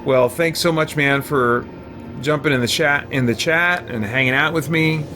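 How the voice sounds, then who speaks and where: A man's voice: a little high, normal speed, pushed and bright, a little hiss or hum. A man chatting casually as a game streamer, thoughtful and rambling, often hesitant as he comments. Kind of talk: casual livestream chat and gameplay commentary. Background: game music.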